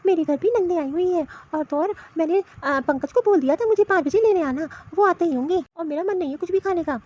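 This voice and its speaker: A woman's voice: very high-pitched, fairly quick, mellow. A woman voicing a hurt, dutiful young married sister in a cartoon family story, plaintive and disappointed. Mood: hurt, dejected. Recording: dull, lo-fi cartoon voice track.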